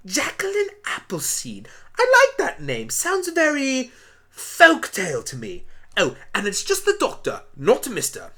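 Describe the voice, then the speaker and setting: An eccentric alien gentleman doctor stuck in a pony's body, acted by a woman. The delivery is bright and swings widely in pitch. He is pedantic, quizzical and blunt, as in an amateur radio play. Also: wildly swooping pitch, measured pace, husky, heavily acted.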